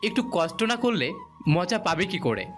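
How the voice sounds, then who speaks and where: Man as insistent young husband, audio drama, swooping expressive delivery, coaxing and pushy, faint music behind. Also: on the high side, fairly quick. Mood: insistent, commanding. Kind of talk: romantic audio story dialogue.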